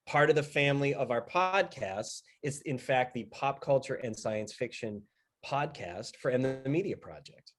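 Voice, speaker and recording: A man speaking as a project founder, giving a casual promotional thank-you with deliberate, emphatic repetition. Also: mid-range voice, animated. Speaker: media project founder giving a sponsor message.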